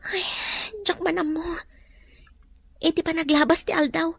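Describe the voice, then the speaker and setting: Very high, plaintive troubled woman played by an actress. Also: fairly even intonation, a little slow, vocal fry, dry recording, heavily acted. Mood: weary, uneasy. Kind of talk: Filipino radio drama dialogue.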